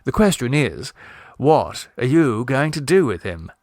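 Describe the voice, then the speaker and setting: Man doing a lazy sly gent, slightly low, drawls out slow and dry. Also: big pitch swings, darkish tone, no echo. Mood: drily amused. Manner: dry, unhurried, mock-consoling. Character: languid, mischievous young gentleman wit.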